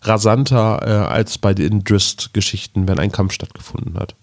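A man's voice: lowish voice, normal speed, no echo, clean studio sound. A man co-hosting a podcast in a casual, informal fan chat. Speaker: podcast co-host.

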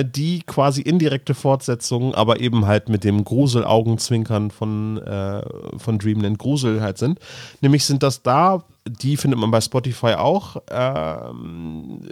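Guy chatting casually on a podcast, kinda halting, repeats himself, very dry recording. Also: medium pitch, darkish tone, dry recording. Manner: hesitant, searching for words, repeats himself. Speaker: podcast co-host. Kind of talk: casual chat about nostalgic audio dramas.

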